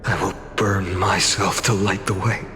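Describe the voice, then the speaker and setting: A man voicing a resolute, self-sacrificing young warrior in a game cutscene, solemn and measured. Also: deep voice, level tone, noisy background. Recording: reverberant with music behind.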